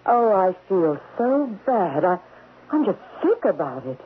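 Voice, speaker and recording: An actress playing a polite, hesitant, upset secretary in a radio mystery drama. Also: female voice, medium pitch, big pitch swings, measured pace. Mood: distressed. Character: upset, deferential female office secretary. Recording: muffled, noisy old radio broadcast.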